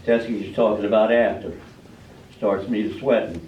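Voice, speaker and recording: A man's voice: fairly low pitch, fairly even intonation, moderate pace, a little hiss or hum, close to an everyday voice. A man playing a troubled, plain-spoken village farmer and father in a staged reading, with folksy rural diction and an uneasy, questioning way of talking. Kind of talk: amateur readers' theater stage acting. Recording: dull, echoey room, distant mic.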